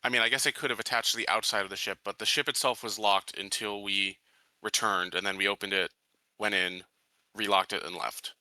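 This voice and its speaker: A man's voice: lowish voice, steady tone, brisk, pushed and bright, no echo, rough recording. A man playing a tabletop roleplaying game, casually and hesitantly reasoning things out aloud.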